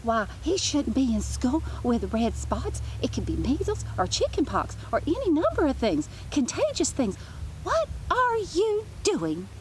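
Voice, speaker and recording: Woman playing a storybook school nurse, fussy, alarmed, brisk questions, raised pitch. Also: wildly swooping pitch, a little hiss or hum, full character voice.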